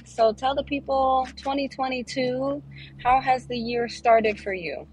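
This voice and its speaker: A woman's voice: high-pitched, a little slow, a little mellow, slight background noise, low-quality recording. A woman co-hosting a casual podcast chat, tired from hospital work, laid-back and slangy. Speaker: podcast co-host, hospital worker.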